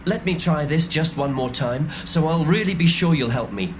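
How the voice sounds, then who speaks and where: A man's voice: on the high side, expressive, moderate pace, slight background noise, lightly acted. An actor playing Gideon, an uncertain Israelite man, in a dramatised Bible reading, speaking with hesitant pleading.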